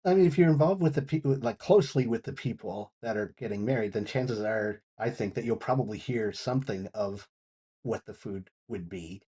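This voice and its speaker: Husky, mellow man. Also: lowish voice, a little fast. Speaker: regular radio contributor and reviewer. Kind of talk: casual panel chat.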